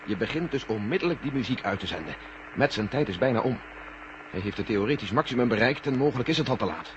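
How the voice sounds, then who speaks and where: Man as brisk general through heavy noise. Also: mid-range voice, expressive, natural voice. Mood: urgent concern. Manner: insistent, authoritative. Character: stern, worried male general giving orders. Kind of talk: 1970 science fiction radio drama acting. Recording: muffled old radio recording, heavy noise.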